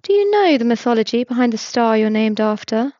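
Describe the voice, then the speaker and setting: A woman's voice: a little high, very animated, brisk, dry recording, dull audio. A woman voicing a nosy, chatty man who keeps asking curious questions. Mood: curious, pleased. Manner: eager, prying, bright.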